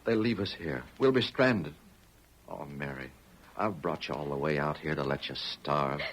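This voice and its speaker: A man's voice: a bass voice, normal speed. A man playing an anxious, despairing pioneer husband with plain folksy rural speech in an old-time radio western. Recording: hissy vintage radio recording.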